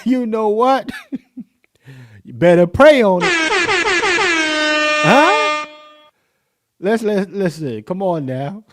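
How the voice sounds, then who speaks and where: A man's voice: sits high, a little hiss or hum. A man hosting a podcast, reacting to a dating show clip with casual, joking commentary and frequent laughter. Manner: laughing, joking, playful slang.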